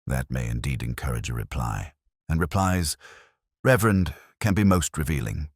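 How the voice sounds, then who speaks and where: Man playing a very deep-voiced, gentle inspector. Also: lively intonation, husky, no room sound, clean studio sound, full character voice. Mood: dry amusement. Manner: gentle, dryly amused, deliberate. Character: shrewd, gentle, unhurried male police inspector.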